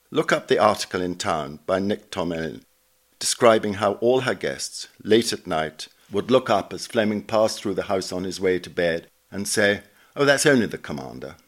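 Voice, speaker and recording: A man reading written notes aloud as a scripted narrator, dramatising the recollections as he goes. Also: fairly low pitch.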